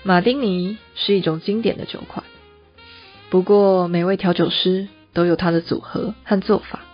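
A woman's voice: fairly low pitch, fairly even intonation, moderate pace, natural voice. A woman playing a reserved, composed female bar manager in an audio drama, speaking tersely with a Taiwanese Mandarin accent. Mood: composed, wry. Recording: slightly dull audio with music.

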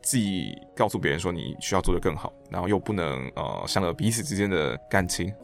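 Taiwanese guy, deep voice, talks fast n expressive, casual interview chat. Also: creaky, faint music behind. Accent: Taiwanese Mandarin. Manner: animated, slips into mock quotes to illustrate points. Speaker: interview guest, stage director and voice actor.

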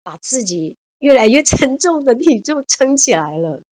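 A woman's voice: high voice, very animated, normal speed. A woman in her fifties with a Taiwanese Mandarin accent, a radio interview guest who tells stories from her childhood and her adventures in an earnest, exclaiming way. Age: 50s. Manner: earnest, warm-hearted, often exclaiming. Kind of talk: reminiscing conversational storytelling.

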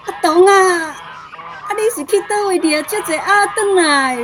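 High-voiced busy village mother. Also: unhurried, crowd noise. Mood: brisk, obliging. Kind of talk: radio drama acting.

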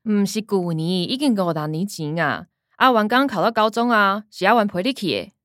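A woman playing a cynical, calculating young granddaughter in a radio drama, sardonic and expressive, with a Taiwanese accent. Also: medium pitch, normal speed, no echo, clean studio sound, natural voice. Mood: inquisitive. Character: young adult granddaughter, cynical, calculating, sharp-tongued schemer.

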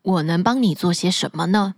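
A woman's voice: on the low side, fairly quick, vocal fry, dry recording, clean studio sound, pitched below the performer's own voice. A woman doing an earnest young prince. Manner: earnest, pleading. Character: young prince, gentle and kind-hearted.

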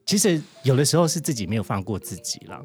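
A man's voice: mid-range voice, sing-song, fast, slightly rough, soft background music. A man with a Taiwanese Mandarin accent answering interview questions in a reflective, candid, conversational way. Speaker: podcast guest, interviewee.

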